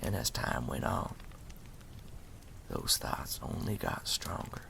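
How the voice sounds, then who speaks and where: Man voicing a very deep, gravelly, whispering creature storyteller. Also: very animated, bright, forceful tone, slight background noise, exaggerated voice. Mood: ominous storytelling. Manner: slow, deliberate, folksy, ominous. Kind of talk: ASMR roleplay, slow hushed storytelling.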